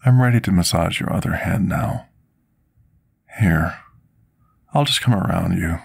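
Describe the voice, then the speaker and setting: Guy doing a timid potion master for asmr roleplay, deep husky soft voice, formal and deferential, bit of fry. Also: exaggerated voice. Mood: soothing, tender. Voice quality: husky, gravelly. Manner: formal, polite, submissive, archaic wording. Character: gentle, timid, deferential male potion master serving a dragon.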